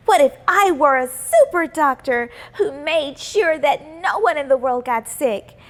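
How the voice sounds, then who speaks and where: Woman voicing an eager, high-pitched young schoolgirl. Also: lively intonation, unhurried. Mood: excited, wondering. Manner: wondering, exclaiming, thinking aloud. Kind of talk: children's storybook character voice.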